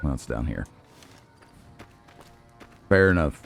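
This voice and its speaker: A man's voice: rumbling low, very animated, slow, vocal fry, faint music behind. A man giving casual let's play commentary on a video game, musing to himself and poking fun at himself. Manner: musing to himself, self-deprecating. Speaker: gaming video host, let's player.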